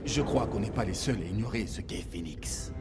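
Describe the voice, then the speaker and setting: Male actor as proud British commando leader, game cinematic: earnest, terse, expressive pitch, loud score behind. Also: moderate pace, loud music behind the voice, lightly acted. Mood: hushed caution. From England. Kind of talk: dubbed video game cinematic acting.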